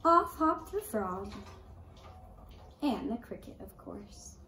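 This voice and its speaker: A woman narrating a scripted children's story in a deliberate way, with dramatic pauses. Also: a little high, big pitch swings, bright, forceful tone. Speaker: puppet show storyteller. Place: indoor room with draped puppet stage. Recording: roomy echo, faint background noise.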